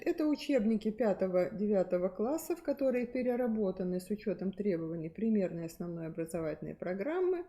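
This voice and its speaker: A middle-aged woman talks through a set of textbooks for a room of teachers, thinking aloud and pausing on fillers as she goes. Her voice is mellow and warm, sitting at an ordinary middle pitch. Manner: hesitant with many fillers and restarts, explanatory. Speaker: presenter at a teachers' methods meeting. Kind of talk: spontaneous presentation of textbooks.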